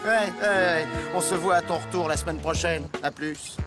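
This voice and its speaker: A man's voice: on the high side, moderate pace, close to an everyday voice. A man voicing a cocky, mocking popular schoolboy in a children's cartoon, slangy and self-satisfied. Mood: scornful. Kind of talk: animated children's cartoon dubbing. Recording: loud music behind the voice.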